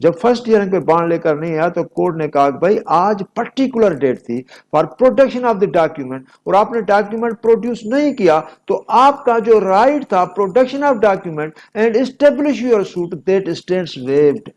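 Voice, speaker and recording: A male lecturer with a Pakistani accent speaks in a fairly high voice at a very slow pace. He explains step by step in a didactic, emphatic way, moving between reading aloud and explaining, and repeats important phrases. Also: animated, darkish tone. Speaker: law lecturer.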